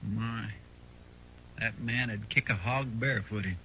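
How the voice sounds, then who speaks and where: A man's voice: lowish voice, slow. An American man acting an earnest, simple, respectful frontier lawman's helper with a folksy drawl in a radio western. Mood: musing. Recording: muffled, faintly noisy old radio recording.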